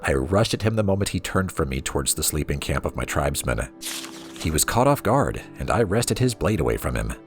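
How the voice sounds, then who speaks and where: Male narrator, low register, highly varied intonation, reading storybook prose over background music. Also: sing-song, fairly quick. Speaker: let's play host reading in-game books aloud.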